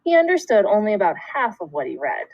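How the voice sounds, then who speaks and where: Expressive woman reading a story aloud. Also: mid-range voice, quick pace, dry recording, muffled recording. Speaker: second grade teacher. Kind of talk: children's book read-aloud.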